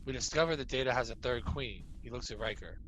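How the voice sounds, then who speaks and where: Bright-voiced man narrating a script. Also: mid-range voice, fast, slight background noise, poor audio. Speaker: narrator reading stage directions. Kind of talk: scripted narration at amateur table read.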